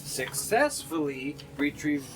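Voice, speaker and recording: A man narrating a live tabletop roleplaying game as game master, casual and halting as he improvises. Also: fairly high pitch, sing-song, rough recording. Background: plucked guitar music.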